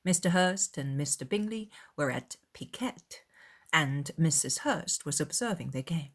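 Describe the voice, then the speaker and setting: A woman reading a scripted literary narration as an audiobook narrator. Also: sits low.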